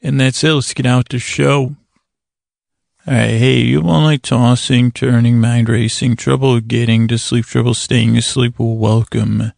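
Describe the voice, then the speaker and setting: Really creaky guy doing a rambly sleep podcast, halting, keeps repeating himself, dry close sound. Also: medium pitch, little pitch movement, darkish tone, no room sound. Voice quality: gravelly, creaky. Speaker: podcast host. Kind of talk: rambling bedtime monologue to help listeners sleep.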